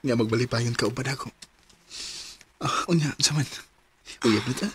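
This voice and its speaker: A man's voice: mid-range voice, fairly bright tone, a little hiss or hum, close to an everyday voice. A man with a Cebuano accent playing an earnest, shy young suitor who is smitten, in a radio romance drama. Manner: earnest, halting, courting.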